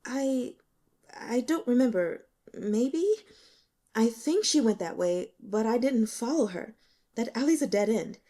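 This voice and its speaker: A woman voicing a nervous, evasive young female student in an audiobook, hesitant and halting. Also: fairly high pitch, animated, warm tone, voice pushed up. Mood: nervous, flustered. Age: young adult. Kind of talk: audiobook character dialogue.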